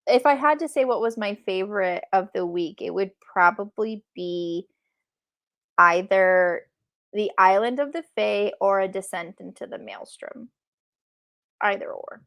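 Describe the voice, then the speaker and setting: A woman's voice: mid-range voice, measured pace, a bit bright. A woman co-hosting a book livestream, chatting casually with a playful, easily amused manner. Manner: laughs readily, playful. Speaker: co-host of a book discussion livestream. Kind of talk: casual chat.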